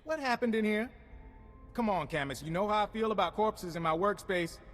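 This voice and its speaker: An actor playing a wry, unflappable undercover agent in a video game, casual and confident. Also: male voice, sits high, expressive, moderate pace, a bit bright, in a large hall. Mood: wryly annoyed. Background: music.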